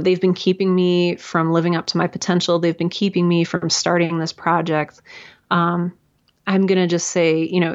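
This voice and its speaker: A woman guest talks through her advice in an animated, chatty way, full of little fillers. Her voice sits a bit low. The audio is dull and lo-fi, like a remote call. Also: gravelly. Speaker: guest, writer and audio drama creator. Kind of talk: casual interview answers giving advice.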